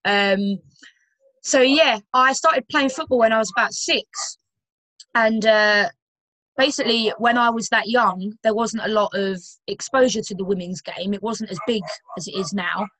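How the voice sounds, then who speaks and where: A young woman from Romford with a London accent talks easily as a podcast guest. She rambles a little, with plenty of ums and self-corrections, at a middling pitch. The recording is dry and close. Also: a little slow, fairly bright tone, dry recording, poor audio. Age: 20s. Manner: chatty, with many fillers and restarts. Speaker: guest footballer and personal trainer. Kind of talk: casual podcast interview.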